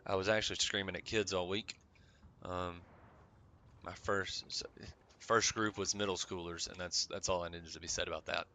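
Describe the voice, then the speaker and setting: A young man with a slightly raspy voice, rambling casually with many ums while commenting on a strategy game. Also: deep voice, steady tone, moderate pace, sharp tone, slight background noise. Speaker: gaming channel host.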